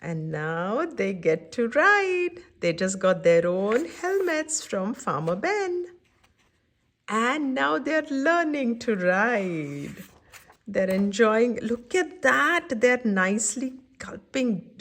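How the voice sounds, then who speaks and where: Slow-paced, expressive woman reading to children. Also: on the high side. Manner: simple explanatory asides, checking in with "right?". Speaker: children's story-time reader and host. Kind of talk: picture book read-aloud with chatty asides to young children.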